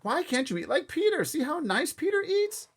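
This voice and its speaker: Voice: high-pitched, big pitch swings, normal speed, voice pushed up. A reader voicing a patient but exasperated mother in a storybook read aloud, with a scolding motherly tone.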